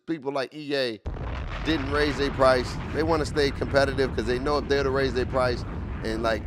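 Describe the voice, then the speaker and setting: A man's voice: medium pitch, noisy background. A man in his late forties streaming casually, repeating himself with hype and constantly talking to his chat. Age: 40s. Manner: repetitive, hyped, keeps addressing chat.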